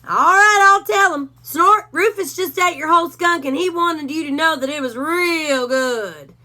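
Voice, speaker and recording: A cocky male cowdog, voiced by a woman reader, delivers dry, sly remarks with a drawl. His voice is high and bright. A storybook hero who thinks he is cleverer than everyone. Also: measured pace, exaggerated voice. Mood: wry. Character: self-important male cowdog, wisecracking and sly.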